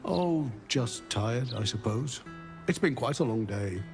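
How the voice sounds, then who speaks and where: A man's voice: mid-range voice, expressive, measured pace, over music. An actor playing an amiable, befuddled man with lost memories in a video game, rambling and trailing off wistfully. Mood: vague, polite. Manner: rambling, absent-minded, trailing off.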